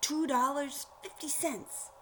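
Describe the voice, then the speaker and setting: Woman reading aloud, clear background noise. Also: high-pitched, fairly even intonation, slow pace, sharp tone, low-quality recording. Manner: explanatory, addresses children directly. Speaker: teacher reading aloud to children. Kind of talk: storybook read-aloud with asides to young listeners.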